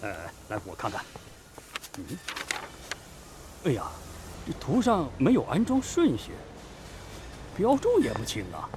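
A gruff, animated veteran factory master. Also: male voice, a little high, measured pace, pauses often. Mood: puzzled. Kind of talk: radio drama acting. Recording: faint background noise.

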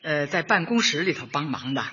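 Warm older female Party secretary, very bright forceful voice, homely chatter, radio drama, muffled audio. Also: sits low, very animated, a little fast, slight background noise, heavily acted. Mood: cheerful, welcoming. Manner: chuckling, hesitant fillers, repeats words in homely colloquial talk.

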